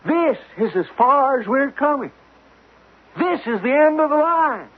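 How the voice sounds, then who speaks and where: Casting: fearful, doom-struck laborer, high-pitched and swooping, old thin radio sound. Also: male voice, lively intonation, a little slow. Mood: anguished, fatalistic. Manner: anguished, pleading. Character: frightened, fatalistic rig worker refusing to know his fate. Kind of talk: old radio drama acting. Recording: muffled, narrowband old radio recording.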